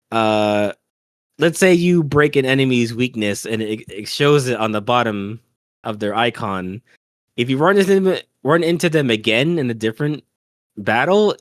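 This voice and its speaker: A man's voice: medium pitch, dry recording. A man chatting informally as a podcast co-host about games, griping in an offhand, conversational way. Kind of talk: casual chat about video games.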